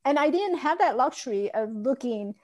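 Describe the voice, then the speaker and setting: Candid woman from Taiwan, rather high. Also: expressive, normal speed, a little mellow. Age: adult. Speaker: guest. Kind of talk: personal story in a podcast interview.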